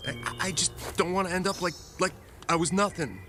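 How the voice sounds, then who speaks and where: An actor playing a frightened young soldier in a radio drama, talking in an anxious, rambling way. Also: male voice, high-pitched, brisk, natural voice. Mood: panicky. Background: music score.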